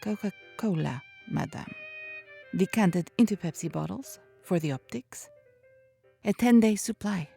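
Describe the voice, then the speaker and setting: A woman playing a deadpan, deferential housekeeper in a comic audio drama. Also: medium pitch, measured pace, vocal fry, soft background music. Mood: dry, formal. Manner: deadpan, deferential but correcting. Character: dry, unimpressed housekeeper in a telenovela parody.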